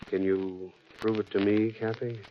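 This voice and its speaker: An actor playing a tough, wry private detective in old radio drama style, clipped and slangy. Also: male voice, lowish voice, little pitch movement, measured pace, close to an everyday voice. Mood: wry, pressing. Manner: hard-boiled, clipped, slangy. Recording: dull, noisy old radio recording.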